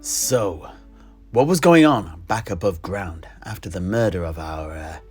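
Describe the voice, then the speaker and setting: A man's voice: deep voice, lively intonation, measured pace, a little mellow, faint music behind. A man hosting an in-character fantasy history chat.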